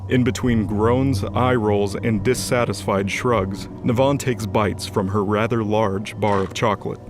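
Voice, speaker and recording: A man's voice: fairly low pitch, steady tone, unhurried, background noise. A man narrating a scripted fantasy audio drama as its storyteller. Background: music bed.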